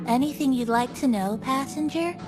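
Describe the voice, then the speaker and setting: A woman voicing a kindly, gently teasing cafe attendant in a video game, with music playing behind her. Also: sits high, moderate pace, natural voice. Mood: friendly, helpful. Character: playful, kindly female cafe attendant addressing a passenger. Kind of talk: video game character dialogue. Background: game background music.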